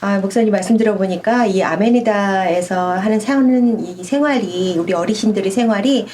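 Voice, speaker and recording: Mellow-voiced woman radio host. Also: mid-range voice, normal speed. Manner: attentive, frequent soft agreement. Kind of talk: radio interview.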